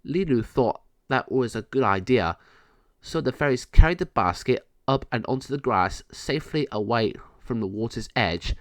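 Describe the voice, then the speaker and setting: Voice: mid-range voice, expressive, moderate pace, dry recording. A narrator reading a children's story aloud in a scripted read-along style.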